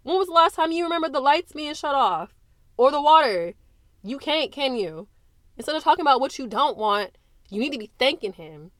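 A woman's voice: medium pitch, expressive, unhurried, fairly bright tone, no room sound. A woman voicing a stern middle-aged mother who scolds in a cold, cutting way. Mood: scornful.

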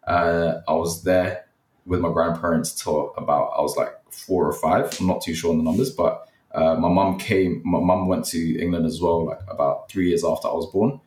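A man's voice: low voice, steady tone, moderate pace, darkish tone, distant mic. A young man from Nepal, a guest on a podcast, chatting casually and hesitantly, full of fillers. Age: young adult. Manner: rambling, many fillers, hesitant. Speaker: guest, content creator. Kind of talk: casual interview chat. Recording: echoey, from off the mic.

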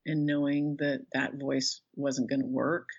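A middle-aged American woman being interviewed as an author, speaking thoughtfully and haltingly as she searches for words. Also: extremely low pitch, little pitch movement, vocal fry, slightly rough, highs cut off. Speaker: interview guest, author and professor. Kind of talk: reflective interview conversation.

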